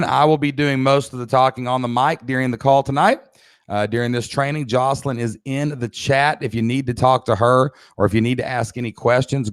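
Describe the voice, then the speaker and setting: Male webinar host, Southern US accent, average pitch, dry close sound, emphatic and folksy coaching delivery. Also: smooth, dry recording. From Kentucky, United States. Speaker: online business coach, podcast host. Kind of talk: live webinar training presentation.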